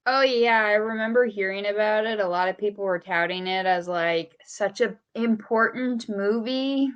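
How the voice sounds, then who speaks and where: A woman's voice: mid-range voice, normal speed, clean tone, dry recording, lo-fi sound. A woman co-hosting a podcast, talking in casual, conversational chat. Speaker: podcast co-host.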